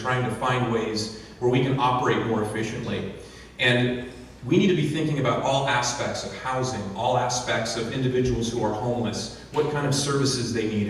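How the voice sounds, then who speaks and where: A man's voice: medium pitch, slight background noise. A man giving an earnest thank-you speech at a ribbon-cutting ceremony, with many fillers and restarts. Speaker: county official giving a speech. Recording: distant echoey outdoor PA sound.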